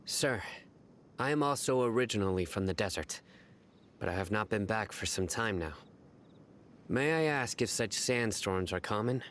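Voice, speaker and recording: A man's voice: fairly low pitch, lively intonation, moderate pace, long pauses, a bit bright, a little hiss or hum. A man voicing a stern, learned young official in a video game, speaking formally and precisely. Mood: respectful. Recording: music behind the voice.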